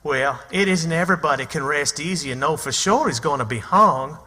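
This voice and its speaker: A man's voice: on the high side, lively intonation, fairly quick, sharp tone. An actor playing a plucky, plain-spoken backwoods boy in his early teens, with a rural Southern American accent and folksy grammar, in radio drama style. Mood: wry, uneasy. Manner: folksy, ungrammatical storytelling. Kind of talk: theatrical radio drama acting and narration. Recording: long hall reverb.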